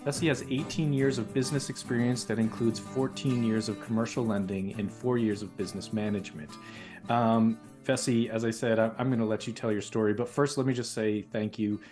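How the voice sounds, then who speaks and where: A man's voice: lowish voice, steady tone, fairly quick, a little mellow, faint music behind. A man hosting a podcast interview, friendly, often repeating words and restarting sentences. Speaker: podcast host.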